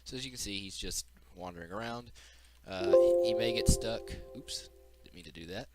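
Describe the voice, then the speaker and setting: Low-voiced man explaining a game demo. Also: normal speed, loud music behind the voice. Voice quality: audible breathing into the mic. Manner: explanatory, unscripted. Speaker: game developer presenting a demo. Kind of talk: informal screen-capture demo walkthrough.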